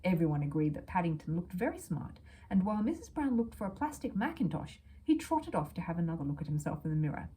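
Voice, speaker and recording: A woman reading a children's story aloud as an expressive narrator. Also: fairly low pitch, fast, hardly any pauses, low-quality recording.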